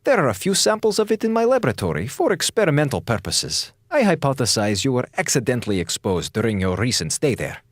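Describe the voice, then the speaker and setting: Cold brusque space station doctor, clipped formal talk, super dry close sound, pitch swings a lot. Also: male voice, wildly swooping pitch, fast talker, hardly any pauses, no room sound. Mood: dry, matter-of-fact. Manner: clipped, formal, drops articles. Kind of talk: radio drama acting.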